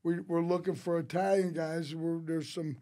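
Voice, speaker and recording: A man tells casual, rambling anecdotes as a podcast guest, quoting people in an animated way. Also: fairly high pitch, normal speed. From St. Louis, United States. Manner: rambling, animated storyteller who quotes others. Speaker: interview guest, restaurateur. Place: small podcast studio. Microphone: close studio mic on a boom arm.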